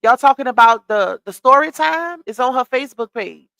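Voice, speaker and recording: A woman's voice: sits high, lively intonation, moderate pace, creaky, fairly bright tone, dry recording. Chatty woman hosting a gossip live stream. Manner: chatty, reads out comments and greets viewers. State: losing her voice.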